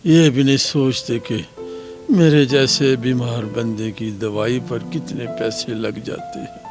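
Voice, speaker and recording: A man's voice: medium pitch, fairly even intonation, unhurried, music in the background, close to an everyday voice. An actor playing a poor, loving factory worker in his thirties with asthma in a radio drama, sighing and emotive as he speaks. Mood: weary, lamenting. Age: 30s. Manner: sighing, lamenting, emotive delivery.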